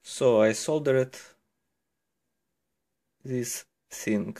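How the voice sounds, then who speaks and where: A man explains a technical procedure step by step in English. His delivery is slow and careful, with halting phrasing and simple wording, like a hobbyist giving instructions. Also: mid-range voice, mellow. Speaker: electronics hobbyist giving a tutorial. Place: indoors at a desk, hands-on demonstration behind the camera.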